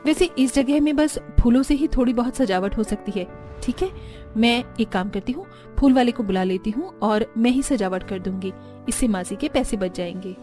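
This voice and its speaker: Lady doing a sensible responsible young bahu for a cartoon, highish voice, faint music. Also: lively intonation, normal speed, warm tone, natural voice. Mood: dutiful, practical. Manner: dutiful, practical. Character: responsible, obedient, sensible young elder daughter-in-law. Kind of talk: Hindi cartoon voice acting.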